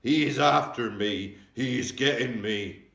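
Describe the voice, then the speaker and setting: A man's voice: medium pitch, vocal fry, fairly bright tone, pitched above the performer's own voice. A man voicing a huge child-eating male giant who screams and begs in his sleep, drawn out and bellowing. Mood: terrified. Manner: bellowing, screeching, drawn-out pleading. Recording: roomy echo, distant mic.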